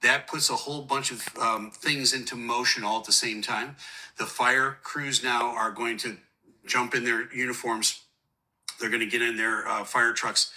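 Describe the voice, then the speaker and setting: A man's voice: medium pitch, bright, forceful tone, rough recording. A man explaining recorded air traffic communications as an analyst, earnest, somber and plain-spoken. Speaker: host, aviation analyst explaining air traffic control audio.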